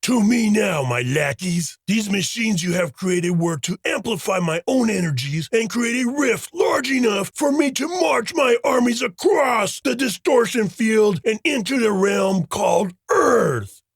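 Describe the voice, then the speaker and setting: A man's voice: sits high, lively intonation, unhurried, slightly rough, no room sound, exaggerated voice. A man voicing a monstrous, imperious and furious tyrant warlord in a comic dub, with bombastic, commanding delivery. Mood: imperious fury. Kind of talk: comic dub villain acting.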